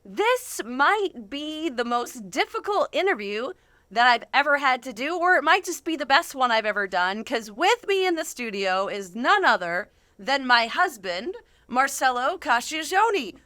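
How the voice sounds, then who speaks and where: A woman's voice: high voice, very animated, measured pace, pushed and bright, dry recording. A woman hosting a casual studio interview, speaking in an effusive, earnest way. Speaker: host.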